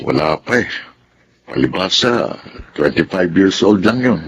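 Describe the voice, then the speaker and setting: A man playing an earnest, kindly middle-aged father in a Filipino radio drama, with a Filipino accent and a measured, advising manner. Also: sits low, sing-song, lo-fi sound, exaggerated voice. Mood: friendly.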